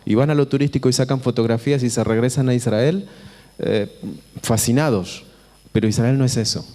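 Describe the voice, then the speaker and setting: Male visiting preacher, Argentine accent, dark soft-edged tone, informal and halting, long auditorium reverb. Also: medium pitch. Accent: Argentine Spanish. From Buenos Aires, Argentina. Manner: conversational, halting, repeats phrases and checks in with listeners. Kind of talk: informal sermon to a congregation. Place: church auditorium. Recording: reverberant hall with long echo.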